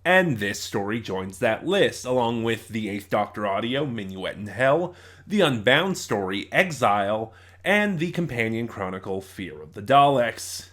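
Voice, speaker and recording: A man's voice: mid-range voice, very animated, a little slow, fairly bright tone. A man giving a casual, rambling review as a reviewer, opinionated but often hesitant and digressive.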